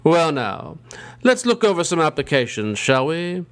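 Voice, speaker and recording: Slow, high-pitched ghoulish horror host. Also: male voice, very animated. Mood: gleefully macabre. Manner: theatrical, drawn-out, punning delivery. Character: ghoulish male horror host and macabre dean, gleefully punning. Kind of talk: campy scripted horror-show hosting.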